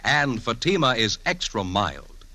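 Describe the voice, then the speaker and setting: Bright, swooping American male ad announcer. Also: mid-range voice, very animated, a little slow. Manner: emphatic salesman's delivery. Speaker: commercial announcer. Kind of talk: 1950s radio advertisement.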